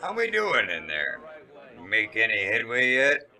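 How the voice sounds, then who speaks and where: An actor playing a blunt, sceptical military colonel in an emphatic radio drama style. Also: male voice, medium pitch, huge pitch swings, a little slow, bright, forceful tone, heavily acted. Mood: businesslike, friendly. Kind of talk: old-fashioned radio drama acting.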